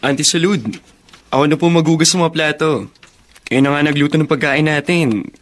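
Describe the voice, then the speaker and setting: Lalaking gumaganap na magalang na binata, mataas-taas ang boses. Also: male voice, fairly high pitch, brisk, music in the background, natural voice. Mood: helpful, polite. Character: respectful, dutiful young man living with elders. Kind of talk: Filipino radio drama acting.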